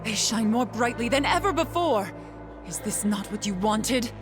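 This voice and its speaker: A woman's voice: on the high side, lively intonation, normal speed, natural voice. An actress playing a proud, dignified woman warrior in a video game, with formal delivery. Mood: indignant, defiant. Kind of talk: dramatic video game acting. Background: game music.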